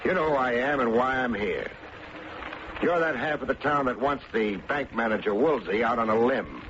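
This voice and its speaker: On a muffled, hissy vintage radio recording, an actor plays a male private eye with a smart mouth. He stays unflappable and sardonic, delivering his hard-boiled lines deadpan in a middle-pitched voice. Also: normal speed, natural voice. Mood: defiant, sardonic. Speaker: lead actor and narrator of a detective serial. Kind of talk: old-time radio detective drama acting.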